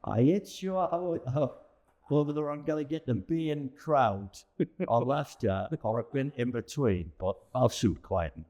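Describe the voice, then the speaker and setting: A man's voice: mid-range voice, unhurried, warm tone. A middle-aged or older man, chatting colloquially and anecdotally as a veteran amateur performer. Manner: colloquial, anecdotal, frequent tag phrases. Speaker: veteran amateur pantomime performer, interview guest. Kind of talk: casual chat.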